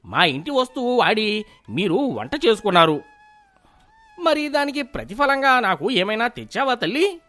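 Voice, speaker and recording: A man playing a greedy, middle-aged village landlord in an animated moral story, wheedling and demanding with drawn-out questions. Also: high voice, heavily acted. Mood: grasping, demanding.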